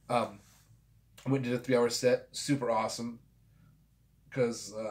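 A man in his forties hosting a home vlog, talking loosely and swearing freely after a couple of drinks, recorded on a desk camera in a small room. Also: mid-range voice. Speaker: vinyl collector channel host. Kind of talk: casual rambling vlog. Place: small home room with framed pictures and a guitar.

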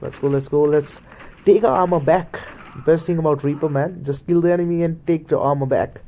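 A man's voice: a little high, steady tone, brisk, dark tone, slight background noise. A man with an Indian accent, a native Hindi and Punjabi speaker, giving casual play-by-play commentary as he games. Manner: mutters play-by-play, slips into Hindi. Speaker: gaming video creator.

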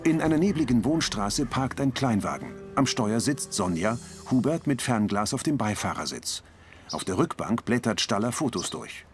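A man's voice: mid-range voice, very animated, normal speed, soft background music. A man reading scripted audio description of a television drama as its narrator.

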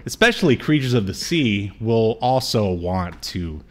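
A man's voice: on the low side, very animated, unhurried. A man, hosting a casual film discussion and recapping scenes from home. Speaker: podcast host. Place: small room at home.

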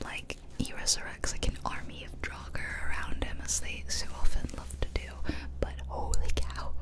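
A woman whispering chatty, self-deprecating commentary over gameplay in a soft, breathy ASMR style. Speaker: ASMR gaming video host.

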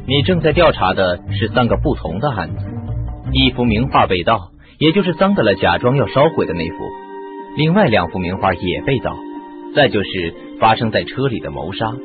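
An actor playing a clever, self-assured sleuth in a radio mystery, explaining with confidence. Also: male voice, fairly low pitch, sing-song, normal speed. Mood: reasoning firmly. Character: clever, self-assured male sleuth making his case. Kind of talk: Chinese radio mystery drama acting. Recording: muffled lo-fi radio drama, music behind.

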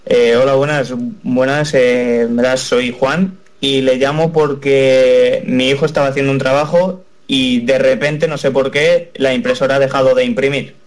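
A man's voice: mid-range voice, fairly even intonation, normal speed, few pauses, natural voice. Man playing a hesitant father from Spain on the phone. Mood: flustered, polite. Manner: hesitant, rambling. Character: polite, flustered father calling tech support.

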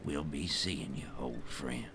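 A deep solemn village elder. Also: male voice, slow pace, background noise, exaggerated voice. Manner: solemn, funereal.

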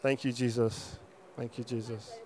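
A man's voice: medium pitch, flat delivery, normal speed, a crowd in the background. A man in his thirties from Nigeria, gives testimony hesitantly, searching for words into a handheld microphone. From Enugu, Nigeria. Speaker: congregant giving testimony. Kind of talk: religious testimony, answering questions. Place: open arena. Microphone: handheld microphone held for him.

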